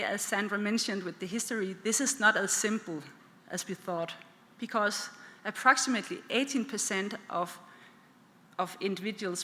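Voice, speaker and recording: Danish-accented woman, geneticist at a podium, bright voice, long hall reverb. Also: mid-range voice, a little hiss or hum. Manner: plain, simplifying explanations for lay listeners. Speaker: clinical geneticist panelist. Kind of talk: conference presentation. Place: large conference hall. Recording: reverberant hall with faint ambience.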